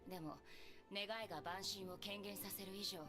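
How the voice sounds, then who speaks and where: An actress playing a calm, authoritative woman leader who relays grave news in a Japanese video game. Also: medium pitch, fast, natural voice. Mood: grave, composed. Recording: game music loud behind the voice.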